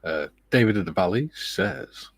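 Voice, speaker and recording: Deep, soft-spoken man. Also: big pitch swings, moderate pace, gravelly, slightly rough, no echo. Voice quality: soft, soothing. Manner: self-deprecating, laughs often. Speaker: livestream host. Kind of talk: casual livestream chat reading viewer comments.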